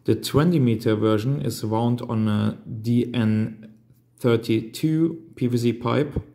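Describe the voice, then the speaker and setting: Male DIY tutorial presenter, thirties, unhurried and halting non-native English, roomy indoor sound. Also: mid-range voice, creaky, warm tone. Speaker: amateur radio hobbyist presenter. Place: hard-walled room indoors at a table.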